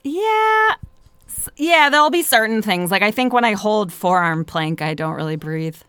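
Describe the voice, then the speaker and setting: A woman's voice: medium pitch, very animated, normal speed, a bit bright, clean tone, no room sound, clean studio sound. A woman with a Philadelphia American accent, talking casually and conversationally as a guest on a podcast. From Philadelphia, United States.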